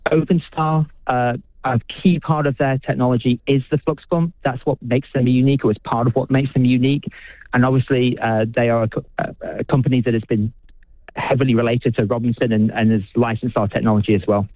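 A British physicist being interviewed on the radio, speaking with enthusiasm, halting often and restarting his sentences. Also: medium pitch, steady tone, dry recording. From United Kingdom. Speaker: physicist and researcher. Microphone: phone. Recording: dry tinny phone line.

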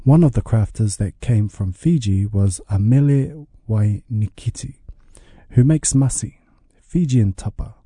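A man's voice: lowish voice, a little slow, mellow, breathy edge, no room sound, studio quality. A man reading a scripted radio news report as the reporter.

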